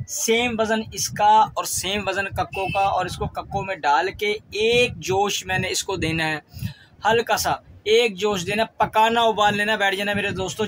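Casting: male Pakistani host, high voice, casual how-to delivery, drives points home by repetition, some noise behind. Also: fairly bright tone, low-quality recording. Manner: repeats phrases for emphasis. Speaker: fishing channel host. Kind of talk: casual instructional talk to viewers. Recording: clear background noise.

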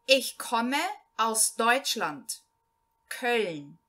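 Female teacher reading a male learner-text character; slow, lively, bright. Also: fairly high pitch, exaggerated voice. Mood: plain. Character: man introducing himself in a beginner text. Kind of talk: read-aloud language lesson.

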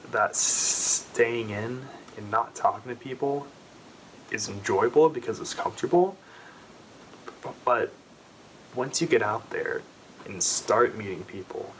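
Young male, 20s, stutters with repeats and restarts, slow low delivery, bedroom to camera, faint room hiss. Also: fairly bright tone, poor audio. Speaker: vlogger. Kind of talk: heartfelt personal talk to camera. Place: small bedroom, indoors. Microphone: camera in front at close range.

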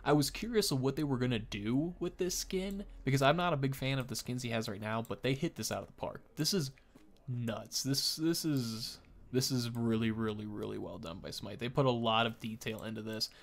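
A man's voice: mid-range voice, brisk. A man, giving informal, enthusiastic game preview commentary. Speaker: gaming video host. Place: small indoor room at a desk. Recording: faint background noise and clicks.

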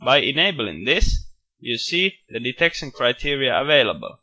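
Man, tutorial voice-over, very bright tone, middle pitch, steady explanatory delivery. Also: moderate pace, low-quality recording. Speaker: software tutorial presenter. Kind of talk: screencast walkthrough narration.

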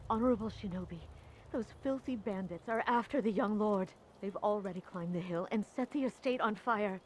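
An actress playing a desperate servant of a samurai estate in a video game, urgent, strained and deferential. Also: female voice, mid-range voice, a little mellow, a little hiss or hum, close to an everyday voice.

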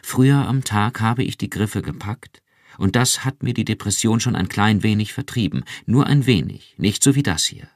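A man's voice: sits low, lively intonation, takes it slowly, no echo, studio quality, full character voice. A man voicing an earnest young wife who pleads insistently and fervently.

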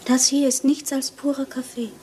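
A woman's voice: sits high, level tone, moderate pace, mellow, slight background noise, natural voice. An actress playing a despairing, secretive lady of the house in a German radio crime drama, earnest and dramatic. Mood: despairing, resolute.

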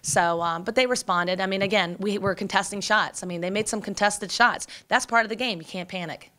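A woman in her forties, a basketball coach, talking quickly and energetically at a postgame press conference, with many fillers and restarts, into a table microphone. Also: medium pitch, sharp tone. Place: press room before a sponsor backdrop. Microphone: table microphone in front.

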